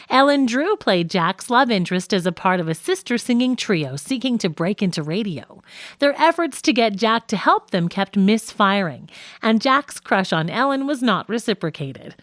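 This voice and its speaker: A female audiobook narrator reads scripted nonfiction with a mid-range voice and expressive, varied pitch. Her delivery is measured and articulate, captured in a dry, close studio-like sound. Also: no echo.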